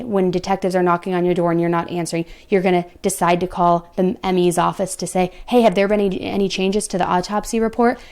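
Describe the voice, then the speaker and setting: A woman's voice: medium pitch, a little mellow. Blunt young woman telling a true crime case. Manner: blunt, exasperated asides to viewers. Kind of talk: true crime storytelling with commentary.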